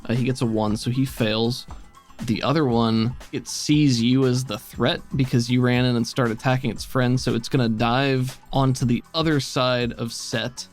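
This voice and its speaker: A man's voice: medium pitch, fairly even intonation, normal speed, faint music behind. A man acting as game master of a tabletop role-playing game, talking casually.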